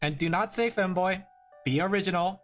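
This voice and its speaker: A man's voice: high-pitched, normal speed, a bit bright, soft background music. Rambling, laughing male streamer. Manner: rambling and self-deprecating, laughs often. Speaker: vtuber streamer. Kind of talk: casual livestream chat while drawing.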